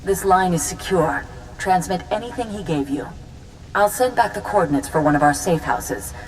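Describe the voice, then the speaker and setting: An actress playing a clipped, secretive official giving orders in a video game. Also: female voice, expressive, normal speed, natural voice. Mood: clipped, commanding. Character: clipped, secretive female official on a secure link. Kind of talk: video game cutscene acting. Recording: reverberant, faint rumbling noise.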